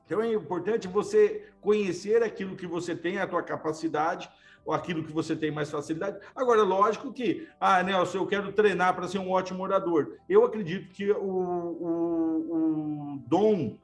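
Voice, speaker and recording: A man speaks in a high voice, thinking aloud in short, restarting phrases. He is a lawyer in a relaxed conversation, and soft music plays faintly behind him. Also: moderate pace. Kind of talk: casual conversation.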